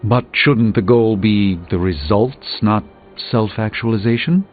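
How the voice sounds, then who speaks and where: An actor playing an erudite, haughty middle-aged sorcerer superhero in a video game, speaking formally with dry, measured articulation. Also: male voice, fairly low pitch, narrow, tinny audio, natural voice. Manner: formal, dry, slightly pompous. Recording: band-limited game audio under music.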